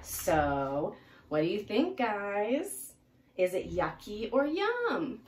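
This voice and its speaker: A woman's voice: mid-range voice, sing-song, drawn-out words, darkish tone. A woman voicing a cheerful fruit-loving piranha in a children's storybook, coaxing his friends in an eager, persuasive way. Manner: eager, persuasive, rhyming offers. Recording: roomy, distant mic, faint noise.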